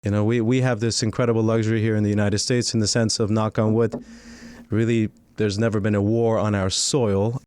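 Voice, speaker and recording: Smooth, low-voiced man telling his story. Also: flat delivery, brisk, darkish tone. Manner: articulate, earnest storytelling. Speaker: guest, lawyer. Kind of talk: interview. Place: studio podcast table.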